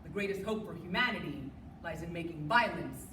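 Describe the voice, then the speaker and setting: A woman's voice: lowish voice, animated, fairly quick. A woman performing a rehearsed dramatic group reading as a speech competitor, in an emphatic, declamatory way. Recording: echoey room from far off, noisy.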